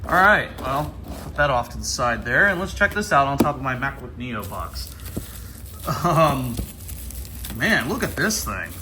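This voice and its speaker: A man's voice: medium pitch, sing-song, unhurried, background noise. An American man giving casual hands-on unboxing commentary in an informal, enthusiastic way. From Ohio, United States. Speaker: vlogger. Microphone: handheld camera.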